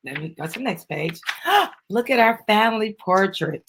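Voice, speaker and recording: A middle-aged woman with a slightly husky voice, reading a children's book aloud and chatting with a child and audience. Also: mid-range voice, animated, normal speed, fairly bright tone, a little hiss or hum. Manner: addresses the child and audience, prompting with questions. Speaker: mother reading a children's book with her daughter. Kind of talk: dramatic storybook reading with casual asides.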